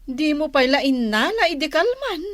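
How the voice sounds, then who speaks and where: A woman's voice: high-pitched, big pitch swings, normal speed, a bit bright, no room sound, studio quality. A woman playing a jealous, nagging, suspicious wife in a Filipino radio comedy-drama, speaking probingly with a Filipino accent. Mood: doubtful.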